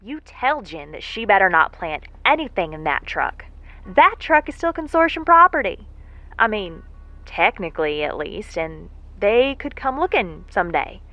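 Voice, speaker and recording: A woman's voice: medium pitch, big pitch swings, moderate pace, bright, forceful tone, no echo, highs cut off. A woman playing a folksy, lovesick moonbase worker who rambles with wry self-deprecation in an audio drama monologue. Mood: fond, teasing. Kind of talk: audio drama monologue, a spoken letter home. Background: faint music.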